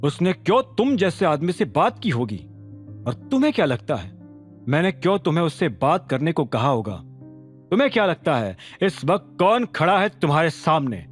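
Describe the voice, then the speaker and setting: A man's voice: on the high side, very animated, moderate pace. A man voicing a menacing, commanding gang boss in an audio drama, taunting and self-assured, with weight in the voice. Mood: taunting, intense. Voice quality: heavy voice. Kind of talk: audio drama character acting. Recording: music behind.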